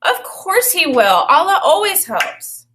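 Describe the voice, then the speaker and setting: A woman's voice: very high voice, sing-song, takes it slowly, pushed and bright, slight background noise, voice pushed up, full character voice. A woman voicing an earnest, reassuring young boy in an audiobook-style story, with an emphatic, childlike, know-it-all manner. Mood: confidently explaining.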